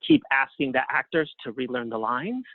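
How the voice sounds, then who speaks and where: A man giving conversational interview answers as a guest, speaking haltingly and thinking aloud as he goes. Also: mid-range voice, sing-song, no echo. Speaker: guest playwright and librettist. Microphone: phone or call line. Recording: thin phone-line sound.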